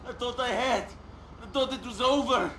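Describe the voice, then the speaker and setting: A man's voice: extremely high pitch, normal speed, a bit bright. An actor playing an anguished, guilt-ridden man in a crime video game. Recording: echoey, faintly noisy game clip.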